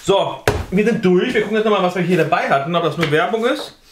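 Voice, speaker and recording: A man's voice: high-pitched, lively intonation, fast talker, reverberant, noisy background. A man in his thirties, co-hosting a food box unboxing vlog at a table, talking in a loose, rambling way with many fillers. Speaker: food box vlogger, co-host. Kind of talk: casual unboxing chat. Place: indoor kitchen or living room, seated at a table.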